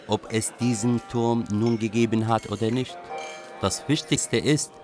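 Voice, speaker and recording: A low actor's portrayal of a man looking back on a rebellious youth, dry and reflective. It is a radio play monologue with guitar music faintly underneath. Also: lightly acted. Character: Baghdad man wryly confessing his rebellious schooldays. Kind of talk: scripted radio drama monologue.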